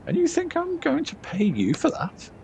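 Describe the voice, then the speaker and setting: A man's voice: very high-pitched, wildly swooping pitch, normal speed, vocal fry, darkish tone, slight background noise, voice pushed up, heavily acted. A man voicing a curt, stern boss who hands out orders in a clipped, dismissive way, read from a game story. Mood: indignant.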